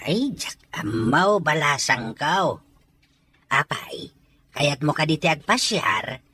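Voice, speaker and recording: A woman playing a teasing, hospitable older country man in an audio drama, with a drawn-out, coaxing delivery. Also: deep voice, sing-song, pushed and bright, husky, full character voice. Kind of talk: Ilocano audio drama acting.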